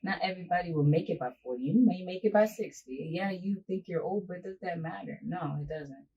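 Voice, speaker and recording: A woman's voice: lowish voice, a little fast, highs cut off. A young woman speaking as a daughter in a casual family chat, giving emphatic, motivational advice. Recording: distant mic with faint noise.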